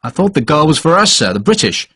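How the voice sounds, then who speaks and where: A man's voice: a little high, sing-song, fast, husky, dry recording, voice pushed up, heavily acted. A man doing a wry, blunt rifleman officer. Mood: questioning. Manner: terse, dry, quietly sardonic. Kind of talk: audiobook character voice.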